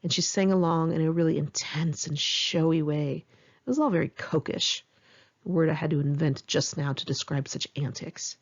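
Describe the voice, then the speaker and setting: A woman's voice: low voice, moderate pace, lo-fi sound. A middle-aged woman reading her memoir aloud in one take, candid and wry, with casual swearing. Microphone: home microphone.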